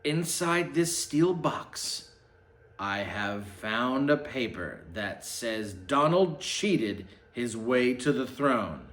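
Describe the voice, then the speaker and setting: A man's voice: medium pitch, sing-song, very slow, fairly bright tone, full character voice. A man voicing a shifty, accusing knight from a storybook with declamatory delivery. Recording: roomy, echoing sound.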